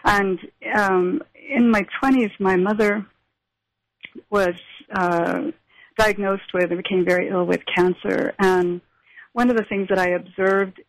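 A woman with a gravelly, creaky edge to her voice answers interview questions in a thoughtful way. She pauses often and restarts her sentences as she reflects. The recording is close and dry. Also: mid-range voice, steady tone, dry recording, low-quality recording. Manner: thoughtful, with frequent fillers and restarts. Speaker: spiritual practitioner and teacher.

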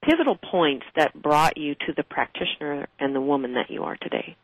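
An interviewer who asks open questions and responds with warm affirmations. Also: medium pitch, very animated, brisk, dry recording, narrow, tinny audio. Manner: affirming and encouraging. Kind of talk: conversational interview.

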